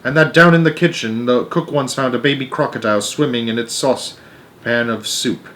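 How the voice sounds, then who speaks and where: A man narrating a children's novel aloud in an expressive storytelling style. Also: medium pitch, moderate pace, a little hiss or hum.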